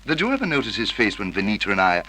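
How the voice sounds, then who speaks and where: A petulant, haughty screen idol in an old radio play. His voice is lowish and very sharp, swinging widely with theatrical precision. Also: huge pitch swings, rapid-fire, exaggerated voice. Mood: aggrieved. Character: vain, petulant, aggrieved male film star. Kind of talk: 1950s radio drama acting. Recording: old radio recording, faint noise.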